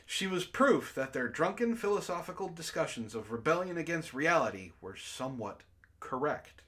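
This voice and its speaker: A man's voice: fairly high pitch, very animated, moderate pace, fairly bright tone. A man hosting a book club stream, reading aloud and breaking into exasperated, incredulous asides in casual jokey banter.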